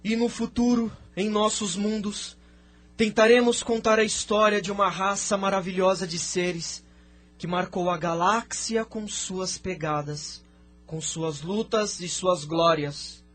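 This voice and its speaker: A man with a Brazilian accent playing a venerable, thoughtful spirit sage in an audio drama, speaking in a measured, formal, declamatory way. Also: sits high, steady tone, a bit bright, soft background music. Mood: solemn, reflective. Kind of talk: scripted audio drama dialogue.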